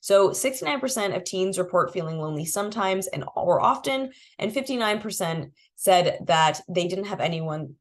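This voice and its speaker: A woman's voice: medium pitch, expressive, moderate pace, few pauses. A young adult woman, a bit congested and sniffly, walking viewers through a resource toolkit in a casual, chatty way with many fillers. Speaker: programs manager presenting a resource toolkit. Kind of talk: casual screen-share walkthrough.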